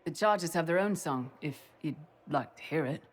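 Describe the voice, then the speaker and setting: A good-natured young soldier in a fantasy game. The voice is very low for a woman and noticeably husky. The delivery is casual, earnest and a little sheepish. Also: expressive, normal speed. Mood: bashful. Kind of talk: video game voice acting.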